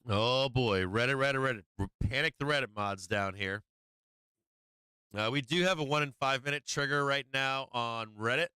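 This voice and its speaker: A man's voice: medium pitch, moderate pace, sharp tone, smooth, no room sound, clean studio sound. A man hosting a stock market livestream, talking casually and profanely through charts with many fillers while he is losing his voice.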